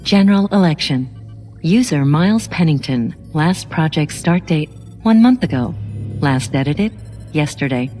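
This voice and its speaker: Coolly polite computer assistant, reverberant. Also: female voice, sits low, lively intonation, a little slow, mellow, soft background music. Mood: flat, detached. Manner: formal, clipped robotic phrasing. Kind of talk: audio drama acting.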